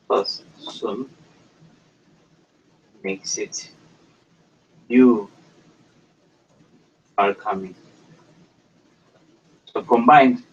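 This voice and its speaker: Male tutor, slow and halting, lowish husky voice, dull recording. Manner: hesitant, halting, with many pauses and fillers. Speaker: language teacher. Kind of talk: slow explanatory lecture.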